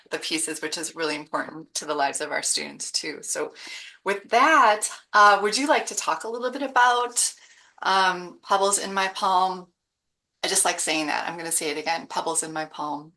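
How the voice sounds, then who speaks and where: A woman host with a very low, gravelly voice that still has a bright edge. She talks chattily and eagerly, with many fillers, as she interviews an author over a video call. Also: normal speed. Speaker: host and educator. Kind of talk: casual interview chat.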